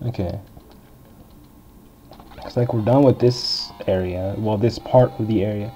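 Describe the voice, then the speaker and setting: A young man with a deep voice giving casual, rambling commentary while playing through a retro video game. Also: unhurried, dark tone. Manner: chatty, rambling, filler-heavy. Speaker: game walkthrough host. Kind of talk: casual let's-play commentary. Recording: dull lo-fi game recording. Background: faint game music.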